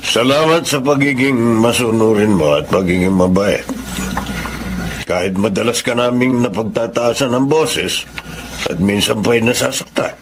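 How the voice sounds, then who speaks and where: A man's voice: mid-range voice, measured pace, background noise, natural voice. An actor playing a wealthy employer who talks to his driver in a commanding, curt way. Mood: grateful. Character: stern wealthy male employer.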